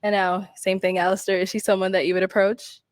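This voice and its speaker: A woman hosting a dating game show, asking contestants questions in an upbeat, brisk way. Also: mid-range voice, level tone, no room sound, studio quality. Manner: upbeat, brisk, laughs often.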